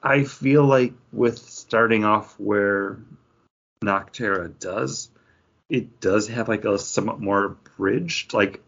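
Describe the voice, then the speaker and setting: A man with a low, gravelly, husky voice chats casually about comics. He thinks aloud in a hesitant way, and the sound is slightly dull. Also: slow, a bit bright. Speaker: co-host of a comics review show. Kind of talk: casual chat, comic book review. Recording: dull lo-fi audio.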